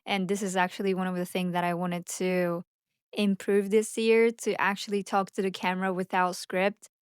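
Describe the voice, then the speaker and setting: A woman's voice: mid-range voice, little pitch movement, moderate pace, smooth, dry recording, studio quality. A woman who speaks Japanese as her first language, chatting candidly and unscripted to camera like a vlogger, sometimes stumbling over her words. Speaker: vlogger and social media marketing agency owner. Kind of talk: unscripted casual chat to camera.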